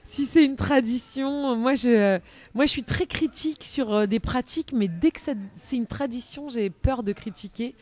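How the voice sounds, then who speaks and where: A woman presenting a chatty live radio magazine segment in a bubbly, playful and expressive manner. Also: a little high, normal speed.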